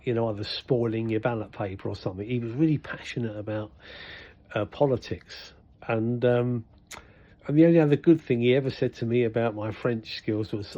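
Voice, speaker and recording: An older man chats his way through a vlog in a rambling style, full of ums and restarts. His voice is mellow and warm, sitting at a middling pitch. The recording sounds slightly dull and lo-fi. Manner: rambling, chatty, many fillers and restarts. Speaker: vlogger. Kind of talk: casual vlog chat.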